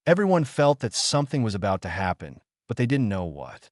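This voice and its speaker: A man's voice: lowish voice, sing-song, fairly quick, no echo, studio quality. A man reading scripted narration for a science fiction audio drama.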